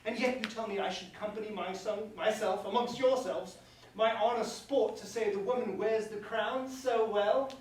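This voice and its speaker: Man playing a pompous high-voiced prince, echoey. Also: steady tone, moderate pace, darkish tone, close to an everyday voice. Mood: livid, scornful. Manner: declamatory, theatrical, formal verse speech. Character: pompous, bitter, exiled young prince. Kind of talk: staged reading of verse comedy. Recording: distant mic, echoey room, faint noise.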